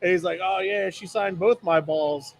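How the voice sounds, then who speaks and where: A high-pitched male voice in loose sports-radio banter. He co-hosts casually, making blunt points and telling joking, off-color stories to the table. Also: normal speed, gravelly, a little hiss or hum. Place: live remote broadcast at a casino.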